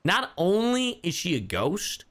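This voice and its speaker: A man doing casual, slangy livestream commentary as a streamer reacting to a game in an animated way. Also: high-pitched, a little slow, heavy background noise.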